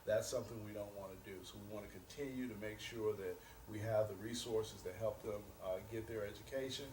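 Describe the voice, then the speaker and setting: A man's voice: on the low side, fairly even intonation, brisk, a little mellow. A man being interviewed as a company representative, explaining things earnestly and promotionally and repeating his key points. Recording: roomy echo, distant mic, faint noise.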